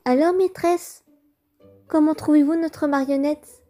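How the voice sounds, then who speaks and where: A woman's voice: sits high, steady tone, unhurried, dark tone, faint music behind. A woman voicing a polite, earnest schoolchild twin in a cartoon comic dub. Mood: hopeful.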